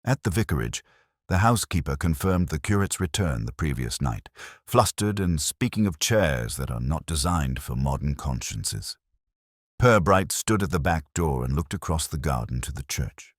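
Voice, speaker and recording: A man's voice: a bass voice, expressive, normal speed, dry recording, clean studio sound. A man reading a scripted literary mystery as its narrator.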